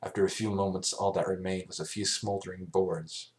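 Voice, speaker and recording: A man's voice: low-pitched, fairly even intonation, a little fast, darkish tone. A man narrating a scripted story as an amateur reader, now and then stumbling over the words. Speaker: amateur fan fiction narrator.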